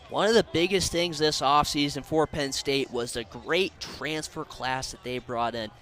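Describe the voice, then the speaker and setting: A man's voice: fairly high pitch, a little slow, fairly bright tone. A man giving live sports analysis as a color commentator.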